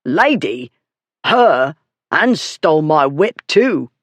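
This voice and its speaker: A narrator voicing a coarse, drunken man who blusters and shouts in rough working-class speech. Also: mid-range voice, very animated, slow, no room sound, full character voice. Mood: blustering. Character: drunken coarse male dog beater, blustering.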